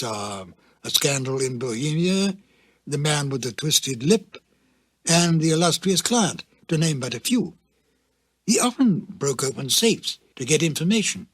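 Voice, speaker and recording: Male presenter, slightly high pitch, husky, formal explanatory delivery. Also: no room sound. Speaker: presenter introducing a radio drama. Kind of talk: scripted explanatory introduction.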